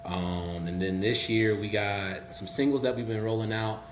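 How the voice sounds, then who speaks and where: Brief: young man, Southern accent, fairly low pitch, relaxed podcast guest, echoey room. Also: moderate pace, over music. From Memphis, Tennessee. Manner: relaxed, talkative, sprinkled with "um" and "you know". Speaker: guest rapper. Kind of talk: casual podcast interview. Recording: echoey room with faint hum.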